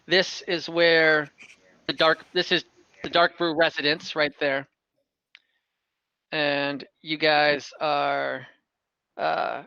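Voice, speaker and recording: A man narrating a tabletop game as game master, casually improvising in a halting, informal way. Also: fairly high pitch, gravelly, bright, forceful tone, smooth, dry recording, dull audio. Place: online tabletop game session from home.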